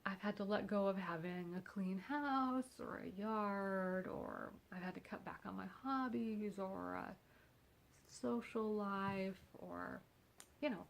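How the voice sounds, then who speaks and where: A congested woman chats casually at home, her voice nasal and a little gravelly. She rambles in a loose, unhurried way and keeps lightly poking fun at herself. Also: mid-range voice, a bit bright, a little hiss or hum. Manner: rambling and wry, with self-mocking humor. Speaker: vlogger. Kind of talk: casual chat.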